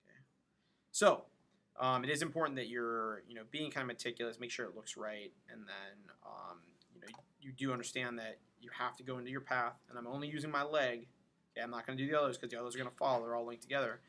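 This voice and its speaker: A man giving an informal software tutorial, thinking aloud as he demonstrates each step. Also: mid-range voice, quick pace. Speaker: animation instructor. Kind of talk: casual screen-recorded tutorial narration.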